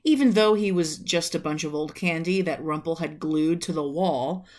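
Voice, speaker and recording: A woman in her thirties reading a children's book aloud as a storyteller, deliberate, with drawn-out phrases and small asides to the listener. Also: sits low, darkish tone. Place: small room at home, indoors.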